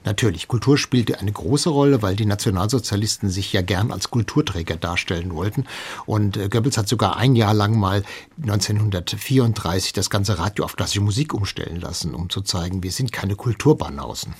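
A man's voice: fairly low pitch, lively intonation, brisk, husky. A man speaking as an expert guest, explaining history in an interview.

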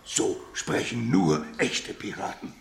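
Gravelly, slow-talking pirate jailer, grumpy and gullible, wildly swinging pitch, children's audio drama. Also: male voice, big pitch swings, heavily acted. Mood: won over. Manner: groaning, exclamatory, easily baffled. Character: grumpy, bewildered, gullible pirate dungeon keeper. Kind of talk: children's radio play acting. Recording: echoey dungeon ambience with faint music.

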